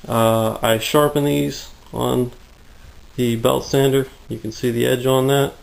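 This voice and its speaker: A man giving a casual tour of his home workshop, rambling through his explanations with lots of fillers, out of breath and getting raspy in the heat. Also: medium pitch, fairly even intonation, a little slow, rough recording. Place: hot, humid home workshop.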